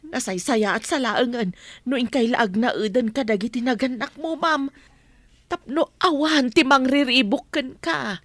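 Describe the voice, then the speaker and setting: A woman's voice: high voice, unhurried, dry recording. A woman playing a caring, protective older family maid in an Ilocano radio drama, pleading and admonishing. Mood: concerned. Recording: dry studio, music underneath.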